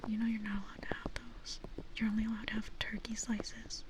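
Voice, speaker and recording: A woman streamer whispering nervously into a binaural ear microphone, chatting softly with her viewers. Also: a little high, flat delivery, fairly quick, recorded from a distance. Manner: soft, nervous, giggly chatter with chat. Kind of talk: whispered ASMR live stream. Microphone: binaural microphone with ears.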